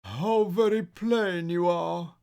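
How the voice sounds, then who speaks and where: A vain, haughty storybook owl, played by a man, pitched high above a normal speaking voice. The words come out in a snooty, slow drawl. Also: steady tone, gravelly, darkish tone, clean tone, full character voice. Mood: disdainful. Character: haughty, vain, colourful pretty owls. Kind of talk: children's picture book read aloud.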